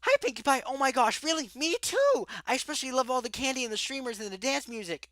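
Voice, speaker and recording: A bouncy, party-mad young stallion pony, played by a woman, spilling out rapid, breathless lists of treats and games. The voice sits high and bright and leaps all over, recorded close and dry with no room sound. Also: lively intonation, no room sound. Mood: bubbly. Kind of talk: fan audiobook voice acting.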